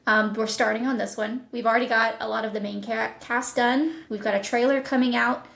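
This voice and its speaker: A woman's voice: fairly high pitch, steady tone, brisk, creaky, fairly bright tone, roomy sound. A woman chatting casually in an interview as a voice director of audio productions.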